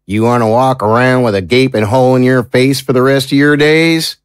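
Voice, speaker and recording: A man playing a gruff, no-nonsense small-town law officer in an audio drama, talking bluntly and urgently in a folksy way. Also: mid-range voice, fairly even intonation, fairly quick, no room sound, studio quality, natural voice. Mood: threatening. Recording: noisy background ambience.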